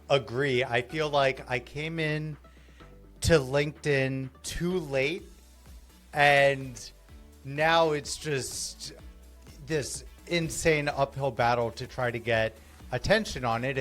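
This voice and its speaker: A man's voice: mid-range voice, steady tone, takes it slowly, a bit bright, faint music behind. A man hosting a podcast, asking earnest, loosely phrased questions in a casual interview. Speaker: podcast host.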